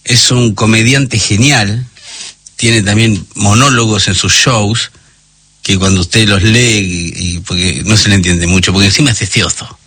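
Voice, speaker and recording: A man with a Buenos Aires accent presenting music on the radio, hoarse today, explaining things at length with many pauses and fillers. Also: on the low side, fairly even intonation, sharp tone. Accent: Porteño Argentine Spanish. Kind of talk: casual radio chat.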